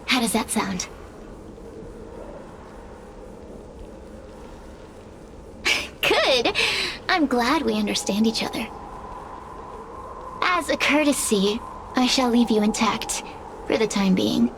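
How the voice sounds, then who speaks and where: A woman voicing a sly, seductive possessing spirit in a video game, teasing and quietly menacing. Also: on the high side, animated, vocal fry, slight background noise, exaggerated voice. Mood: smug. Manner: silky, teasing, deliberate.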